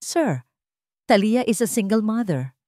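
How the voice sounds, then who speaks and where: A woman's voice: mid-range voice, big pitch swings, a little slow, dark tone, dry recording, clean studio sound, pitched above the performer's own voice. Woman playing a dutiful, hesitant Filipino male assistant. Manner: polite, formal, hesitant. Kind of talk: dramatic audio story.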